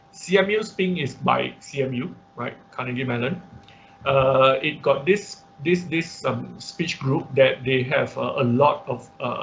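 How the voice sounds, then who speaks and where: A man who speaks as a gives an informal explanatory online lecture, halting and repeating himself, often checking in with short tag questions. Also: mid-range voice. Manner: frequent tag questions like "okay" and "right". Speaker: online class instructor. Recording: dull lo-fi online-class audio with noise.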